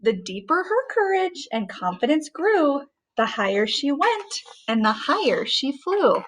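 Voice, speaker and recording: A middle-aged woman, a teacher, reading a rhyming storybook aloud in a gentle, sing-song way. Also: high voice, sing-song, unhurried.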